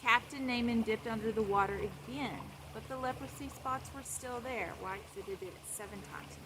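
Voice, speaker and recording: High-voiced woman reading a children's story, noisy background. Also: moderate pace. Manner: explains simply, repeats phrases for young listeners. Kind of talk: Bible story read aloud with simple asides. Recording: clear steady background noise.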